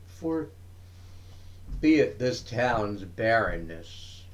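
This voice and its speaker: A man giving a dramatic reading of verse, in measured, deliberate phrases broken by pauses. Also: on the low side, sing-song. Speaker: reader of poetry.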